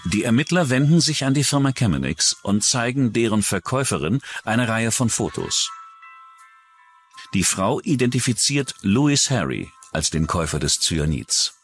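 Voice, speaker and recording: A man's voice: mid-range voice, big pitch swings, normal speed, faint music behind. A man reading scripted true-crime documentary narration.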